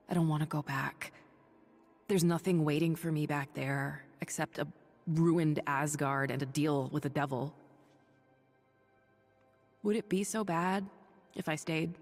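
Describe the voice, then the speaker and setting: A woman voicing a weary, noble superhero thunder goddess for a video game, confiding in measured, breathy speech. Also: low-pitched, big-room sound, heavily acted. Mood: weary, conflicted. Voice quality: breathy, husky. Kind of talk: video game voice acting, dramatic dialogue and audio-log monologue.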